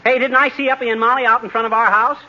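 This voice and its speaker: Cocky, folksy husband for vintage radio comedy: high, piercing voice, slangy, impatient, scratchy recording. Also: male voice, steady tone, racing, sharp tone, lo-fi sound, heavily acted. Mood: brash, puzzled. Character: cocky, folksy, gullible middle-aged husband. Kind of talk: 1940s radio comedy acting.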